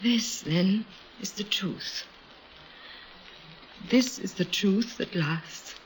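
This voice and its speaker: A woman's voice: mid-range voice, very slow. An actress playing a proud, desperate, lovelorn aristocratic woman in a theatrical old-time radio drama style. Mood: stunned, despairing. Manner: theatrical, dramatic, clipped pleading phrases. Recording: noisy vintage radio broadcast.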